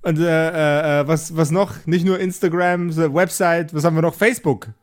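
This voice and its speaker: A man improvising as a game master, narrating and bantering haltingly as he searches for words. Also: a little high, fairly even intonation.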